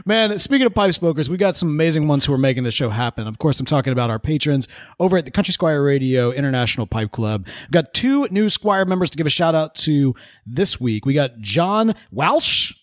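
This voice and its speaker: A man's voice: fairly high pitch, big pitch swings, fairly quick, dry recording, clean studio sound. A man from the Southern United States co-hosting a podcast in casual, joking banter, often laughing. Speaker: podcast co-host.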